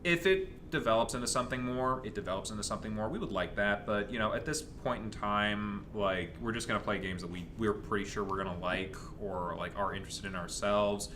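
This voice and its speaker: A young man chatting casually as co-host of a gaming channel, answering audience questions in a rambling, halting way. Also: fairly low pitch, background noise.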